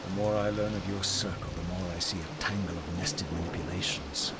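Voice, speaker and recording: An actor playing a sardonic undead vampire wraith who speaks in measured, probing arguments. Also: male voice, low voice, fairly even intonation, over loud music, natural voice. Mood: cold. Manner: measured, sarcastic. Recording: echoey with loud score.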